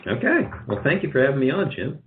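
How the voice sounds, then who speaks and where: A man chatting casually as an interview guest, agreeable and somewhat halting in his speech. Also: mid-range voice, huge pitch swings, a little hiss or hum. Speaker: radio show guest, radio drama producer. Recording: narrow phone-line sound.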